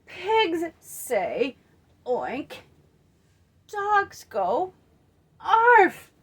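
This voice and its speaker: Exasperated mother dog in a children's storybook, played by a woman: slow, emphatic, swings from loud to hushed. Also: very high voice, wildly swooping pitch, heavily acted. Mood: increasingly exasperated.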